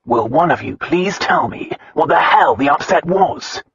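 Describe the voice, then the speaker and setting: An impatient nobleman, played by a male narrator, barks commands and drawls with dry sarcasm. The husky voice is close and dry, with a slightly dulled top end. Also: on the high side, lively intonation, a little slow, fairly bright tone, no echo, full character voice. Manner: barking orders, drawling. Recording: slightly muffled dry recording.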